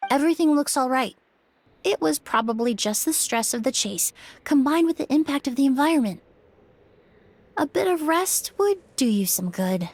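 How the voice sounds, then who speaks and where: An actress playing a composed, analytical young woman investigator in a video game, speaking in a measured, articulate way. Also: high voice, expressive. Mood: calm reassurance. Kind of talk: scripted video game dialogue.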